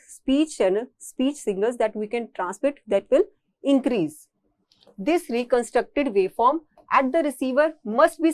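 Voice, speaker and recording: A woman's voice: fairly high pitch, a little mellow, no room sound. A woman with an Indian accent giving a recorded technical lecture as a college lecturer, explaining and rephrasing her points.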